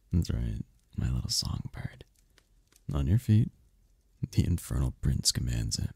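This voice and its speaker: A man playing a seductive, imperious demon prince in an ASMR romance roleplay, teasing and possessive, with a husky, slow, theatrical purr. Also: extremely low pitch, sing-song, a little mellow, dry recording, studio quality, full character voice. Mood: teasing, commanding. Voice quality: gravelly, husky, often breathy whisper. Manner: slow, purring, theatrical, commanding.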